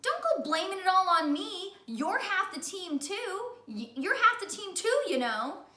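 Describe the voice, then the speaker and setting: Woman voicing an insistent, high-pitched little schoolboy. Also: very animated, a little slow, pushed and bright, echoey room, full character voice. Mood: indignant. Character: eager, stubborn little schoolboy who wants to play.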